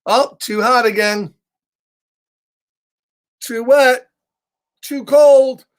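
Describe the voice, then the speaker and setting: A man voicing a fussy, excitable male pigeon in a children's picture book, exclamatory and playful. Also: extremely high pitch, extremely slow, voice pushed up, heavily acted. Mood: fussy complaining.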